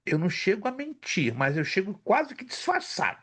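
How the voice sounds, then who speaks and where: A man's voice: sits high, moderate pace, husky. A male theology teacher in a casual class conversation, telling stories with animation and listing facts. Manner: storytelling, animated, lists facts.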